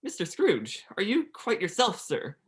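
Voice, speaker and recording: A husky-voiced, humble gentleman of Victorian London acted by a young performer in an old radio play. The delivery is flustered and very deferential, sitting at a middling pitch. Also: wildly swooping pitch, a little slow, exaggerated voice. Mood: meek, apologetic. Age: young adult. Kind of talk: 1930s radio play acting.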